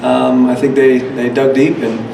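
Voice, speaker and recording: Man, head coach, slightly hoarse, effusive, fillers, echoey room. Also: mid-range voice, flat delivery, measured pace. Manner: effusive, many fillers and restarts. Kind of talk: post-game press conference answers. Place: press conference room. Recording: echoey room, distant mic, background noise.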